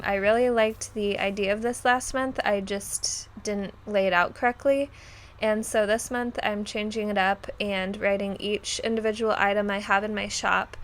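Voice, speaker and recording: A woman's voice: medium pitch, a bit bright, a little hiss or hum. A young woman who sounds nasal as she gets over a cold, chatting casually with many ums and likes as she narrates her journal spread. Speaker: bullet journal vlogger.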